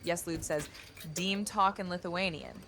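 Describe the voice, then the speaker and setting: A low-pitched synthetic woman's voice reads chat messages aloud, flat and overly formal like a chatbot. A steady hiss of background noise runs under it. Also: measured pace. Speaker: chatbot voice reading viewer messages. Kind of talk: text-to-speech reading of chat and replies.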